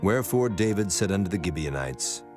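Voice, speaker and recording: A man narrating a dramatized scripture reading in a solemn, measured manner. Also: sits low, expressive. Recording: prominent music behind the voice.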